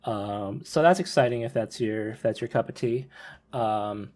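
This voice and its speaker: A man's voice: fairly low pitch, normal speed, darkish tone. A man hosting a podcast in a casual, conversational chat style. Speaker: podcast host. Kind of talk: casual podcast chat about visual novels.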